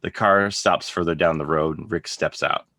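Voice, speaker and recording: Deep, bright-voiced male narrator. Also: steady tone, moderate pace, no room sound, studio quality. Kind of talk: scripted narration of stage directions.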